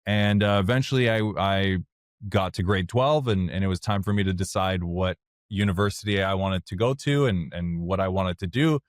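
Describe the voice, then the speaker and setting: A deep-voiced man, a French speaker by birth, talks openly about his own past in a casual interview. His voice sits low and sounds close and dry, with no room around it. He speaks frankly and works his thoughts out as he goes. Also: moderate pace, no room sound. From Canada (Montreal). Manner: candid, plainspoken, thinks aloud as he talks. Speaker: guest, software founder.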